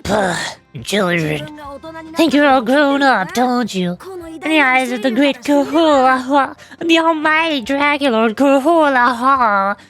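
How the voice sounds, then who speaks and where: Game dragon voiced by a woman: self-important, scornful, high-pitched, music underneath. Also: slow pace, fairly bright tone, exaggerated voice. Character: pompous little dragon lord, boastful and scornful.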